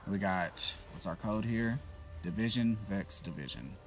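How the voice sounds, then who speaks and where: A man with a very low, gravelly voice narrates his run in a casual, offhand way. His pitch swings widely as he mutters to himself and then snaps and swears at the enemies. Loud game music plays under his voice. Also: animated, a little slow. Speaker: gaming channel host. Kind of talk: casual gameplay commentary.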